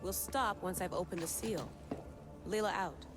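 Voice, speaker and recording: A woman playing a confident, determined young assassin researcher in a game cutscene, brisk and casual. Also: lowish voice, over music, natural voice. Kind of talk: video game cutscene acting.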